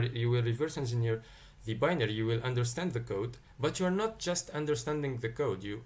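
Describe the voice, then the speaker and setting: A man's voice: medium pitch, a little mellow. Man teaching on a livestream. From Tunisia. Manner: thinks aloud, answers chat questions as they come in. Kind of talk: live-streamed coding lesson.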